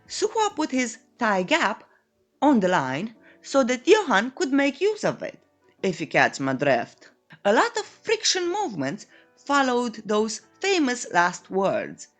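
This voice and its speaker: A woman narrating a scripted comic recap in a playful, tongue-in-cheek, coy manner. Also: fairly high pitch. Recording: voice-over with background music. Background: music.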